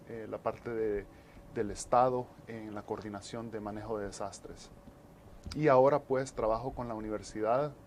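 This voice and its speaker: Guy in his 40s being interviewed, explains stuff in halting bits, some noise behind him. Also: fairly low pitch, a little mellow. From El Salvador. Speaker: interview guest, disaster management specialist.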